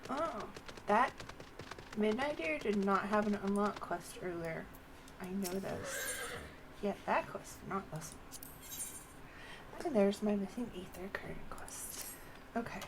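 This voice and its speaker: Slow-paced woman muttering through a game stream. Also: mid-range voice, noisy background. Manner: muttering, thinking aloud. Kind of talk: casual live gameplay commentary to herself.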